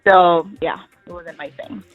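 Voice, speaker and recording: Lively Kenyan woman on a phone line. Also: lowish voice, a little slow, music in the background. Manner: animated storyteller who acts out her parents' voices. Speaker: phone-in guest. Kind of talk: telling her story on a phone call.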